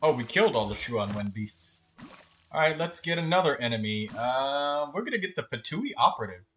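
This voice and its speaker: A man gives casual video game commentary in a bright, forceful voice. He talks trash with plenty of swearing and excitement. Faint noise and clicking sit behind him. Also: mid-range voice, a little fast. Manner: profane, excitable trash talk. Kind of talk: casual live gameplay commentary.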